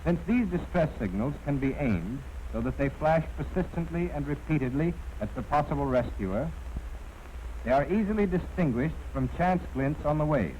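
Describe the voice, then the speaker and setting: Male narrator, American, 1940s training film: slightly high voice, formal and exact, old soundtrack with faint noise. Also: animated, normal speed, dark tone, poor audio. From United States. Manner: precise, formal, instructive.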